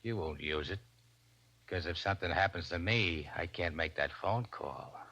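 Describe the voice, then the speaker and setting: A man's voice: deep voice, normal speed, pushed and bright, husky, heavily acted. An actor playing a brazen, threatening gangster with slangy tough-guy talk in a 1940s radio crime drama. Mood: menacing, cocky. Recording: old radio drama broadcast.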